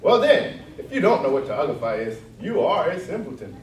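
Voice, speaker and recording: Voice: mid-range voice, sing-song, a little fast, darkish tone, natural voice. A performer in readers' theatre playing a brash, mocking Gryphon creature with blunt, folksy, ungrammatical speech. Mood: incredulous, mocking. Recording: distant roomy hall recording, background noise.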